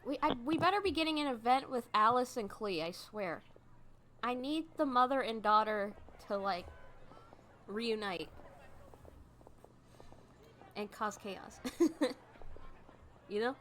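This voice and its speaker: A woman's voice: fairly high pitch, expressive, slow pace, long pauses, a bit bright, slight background noise. A woman chatting casually as she streams a video game. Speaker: let's play streamer. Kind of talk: casual gaming chat. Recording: game music behind the voice. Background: video game music.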